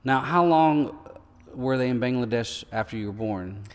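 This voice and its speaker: A man's voice: mid-range voice, moderate pace, clear, resonant tone, long reverb tail. A man interviewing a guest, curious and encouraging, asking questions and answering with short affirmations. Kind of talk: casual interview.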